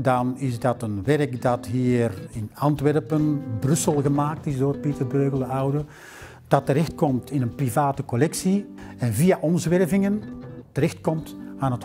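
Flemish man telling a museum's story. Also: mid-range voice. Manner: measured, storytelling with filler "eh". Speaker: museum director. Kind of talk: documentary interview storytelling. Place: library room with bookshelves. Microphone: lapel mic.

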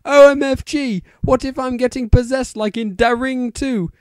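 A man's voice: very high voice, steady tone, moderate pace, darkish tone, clean tone, no echo, studio quality, voice pushed up. A man voicing a melodramatic, easily upset gothic teenage girl in a fan fiction reading. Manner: overwrought, exclaiming.

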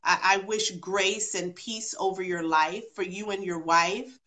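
A woman's voice: fairly low pitch, fairly even intonation, unhurried, sharp tone. A woman born in London and raised partly in Jamaica and New York, a guest on a talk show, speaking earnestly and repeating phrases for emphasis over a video call. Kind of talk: casual talk-show chat.

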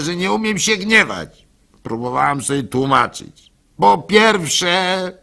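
An actor playing a gullible, soft-hearted village baker who tells his story in a halting, plaintive way for a radio play. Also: sits high, vocal fry, full character voice. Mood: sorrowful, then pleading, self-doubting. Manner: halting, stammering, plaintive, swinging between hushed and loud. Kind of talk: dramatic first-person storytelling for a radio play.

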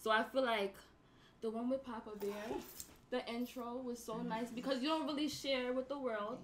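A woman's voice: on the high side, fairly even intonation, moderate pace. A woman speaking as an eager fan, earnest and gushing. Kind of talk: casual chat. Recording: echoey room sound.

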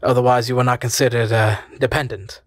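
A man's voice: medium pitch, little pitch movement, a little fast, no echo, studio quality, close to an everyday voice. A man voicing a chubby, reassuring state official who speaks politely. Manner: polite, bureaucratic.

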